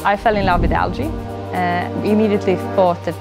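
A woman's voice: fairly low pitch, steady tone, fairly quick, gravelly. A woman, earnestly explaining her company's work in a documentary interview, with frequent "uh" fillers, recorded on a lapel mic in a lab. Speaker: company founder and CEO. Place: indoor lab. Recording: music bed under the voice. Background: background music.

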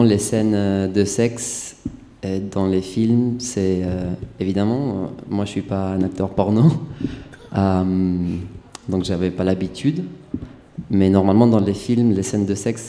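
A young man, not a native speaker, picks his words slowly at a press table. His voice is very dark and mellow and sits low. The table microphone catches a roomy hall with faint noise behind. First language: not French. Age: young adult. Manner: hesitant, searching for words with many fillers. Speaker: lead actor. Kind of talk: press conference answers. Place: press conference room.

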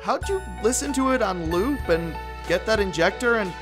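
Earnest, excitable cartoon teen boy, played by a woman, very lively intonation, music underneath. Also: medium pitch, moderate pace, lightly acted. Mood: apologetic. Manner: animated, earnest. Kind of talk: comic dub acting. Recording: music behind the voice.

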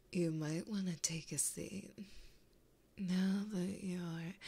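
A woman voicing a flirty, possessive, taunting demon in a breathy whisper for an ASMR roleplay, speaking intimately close to a binaural microphone. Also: lowish voice, exaggerated voice. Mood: coaxing, smooth. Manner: slow, teasing, intimate.

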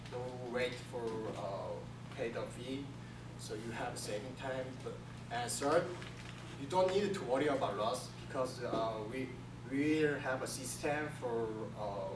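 A young man from South Korea with a Korean accent, giving a class presentation in halting second-language English with many restarts. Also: mid-range voice. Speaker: student presenter. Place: classroom with projector screen. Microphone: far camera. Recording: echoey room from far off, room hiss.

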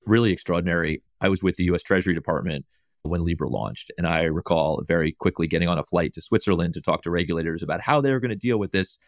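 A man hosting a podcast, reading a scripted intro then chatting with his guest in a measured, clear way. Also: deep voice, no echo, studio quality. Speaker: podcast host. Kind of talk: scripted intro then casual interview chat.

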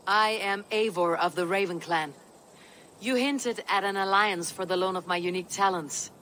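An actress playing a stern, dry-witted Norse warrior in a video game, speaking in measured, terse phrases. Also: female voice, medium pitch, a bit bright, natural voice. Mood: wry, firm. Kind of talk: video game cutscene acting. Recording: reverberant, with ambient noise behind.

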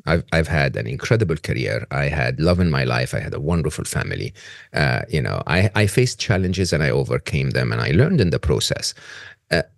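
Rich: A low-pitched man in his fifties speaks in a loose, reflective way over a video call, his intonation rising and falling widely. The sound is close and dry, with no room around it. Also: very animated, a little fast, gravelly, no echo. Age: 50s. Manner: reflective, candid, trailing off with fillers. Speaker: podcast host. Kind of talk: casual conversational interview. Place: home office with bookshelves.

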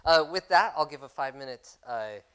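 Bright, clear-voiced man hosting a session. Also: fairly high pitch, a little fast. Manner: jokey, relaxed. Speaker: session host introducing speaker. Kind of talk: casual introduction. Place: conference room with audience.

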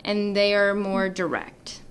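A woman's voice: mid-range voice, steady tone, slow pace, smooth. A young woman from North Carolina with a Southern American accent, hosting a casual student radio chat with lots of fillers. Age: young adult. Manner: chatty, hesitant with fillers. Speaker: radio show host and mediator.

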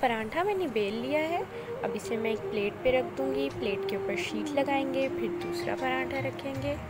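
A woman calmly explains each cooking step in a voice-over, speaking directly to viewers. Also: high voice, moderate pace. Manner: calm, instructive, addresses viewers directly. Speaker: cooking channel host. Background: loud background music.

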